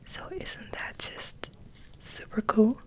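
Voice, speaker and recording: A woman giving a soft, breathy, whispered ASMR ramble as host, in a gentle, unhurried, musing way.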